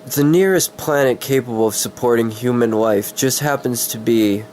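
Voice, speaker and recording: A man with a cold doing a dramatic story reading, halting and easily distracted, with casual swearing. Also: medium pitch, darkish tone, slight background noise, poor audio. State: sick with a cold.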